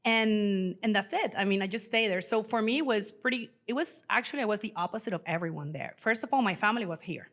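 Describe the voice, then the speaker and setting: Woman, 40s, mid-pitched voice, informal podcast guest telling personal stories. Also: quick pace, few pauses, fairly bright tone. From Venezuela. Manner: chatty, informal, acts out remembered dialogue. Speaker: podcast guest, financial professional. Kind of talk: casual personal storytelling.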